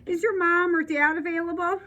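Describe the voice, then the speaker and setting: A woman reading the part of an apologetic but firm male school headmaster in a storybook, formal and brisk. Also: very high-pitched, steady tone, sharp tone, muffled recording, voice pushed up. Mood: formal, regretful.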